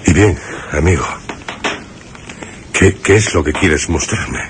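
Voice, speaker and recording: Very deep, slow, wary narrator. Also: male voice, expressive, background noise, heavily acted. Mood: grave, wary. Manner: measured, solemn, halting phrases. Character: wary man narrating his dreadful encounter with a mad friend. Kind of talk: scripted suspense radio drama narration.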